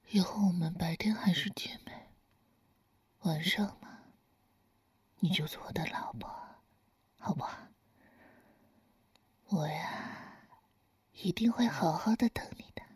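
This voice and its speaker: A teasing, doting older lover, played by a woman murmurs in a husky, breathy whisper that sits close and hushed. The voice is slightly high and drawn out very slowly, every line unhurried and coaxing. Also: exaggerated voice. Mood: tender, coaxing. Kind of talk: whispered intimate audio roleplay.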